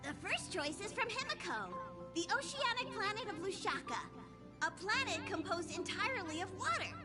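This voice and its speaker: Tiny squeaky mascot conductor. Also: female voice, very animated, normal speed, over loud music. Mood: businesslike. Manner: formal, officious, speaks of self in third person. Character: small cute childlike mascot creature, the train's earnest conductor. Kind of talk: video game character acting.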